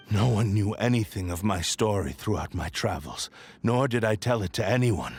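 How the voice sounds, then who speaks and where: An actor playing a proud, despairing sword spirit in a man's form in a video game, speaking gravely in formal, old-fashioned words. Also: lowish voice. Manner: slow, grave, archaic formal speech.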